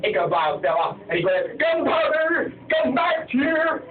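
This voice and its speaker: High-voiced man telling stories, tinny and echoey. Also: very animated, music in the background. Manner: halting, repetitive, starts many sentences with "and so then". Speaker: amateur storyteller. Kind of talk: rambling improvised retelling of a ghost story. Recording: tinny phone-like sound, far from mic, echoey, crowd chatter.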